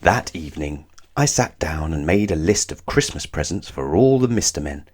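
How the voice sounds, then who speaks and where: A man reading a children's story aloud in an expressive, deliberate way, drawing out words for emphasis. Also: rumbling low. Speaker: storybook reader. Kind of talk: scripted children's story narration read aloud.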